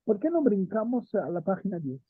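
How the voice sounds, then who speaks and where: Casting: male teacher, husky and fairly high, discursive lecturing, thin dry phone-like audio. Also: big pitch swings, fairly quick, dry recording. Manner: thinks aloud, asks students questions, frequent "¿no?". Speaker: theater history teacher.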